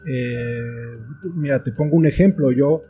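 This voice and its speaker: A man with a very mellow, dark voice answers interview questions in a conversational way. He sits at an average male pitch and builds his thoughts reflectively, phrase by phrase. Faint music plays behind him. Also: unhurried. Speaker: guest, children's book author and screenwriter. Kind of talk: conversational interview, explaining with examples.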